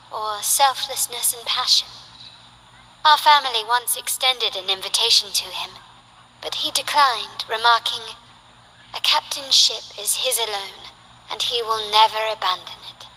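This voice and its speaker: A woman playing a poised, ambitious young noblewoman in a video game, speaking in an elegant, formal, measured way. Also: fairly high pitch, bright, forceful tone, a little hiss or hum, low-quality recording. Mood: composed, musing. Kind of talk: scripted video game character voice lines.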